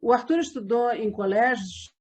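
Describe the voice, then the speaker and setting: Older Brazilian woman, higher-pitched, soft Rio accent. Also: normal speed. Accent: softened Rio de Janeiro Brazilian Portuguese. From Rio de Janeiro, Brazil. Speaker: interview guest, radio drama performer and producer. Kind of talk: casual interview chat.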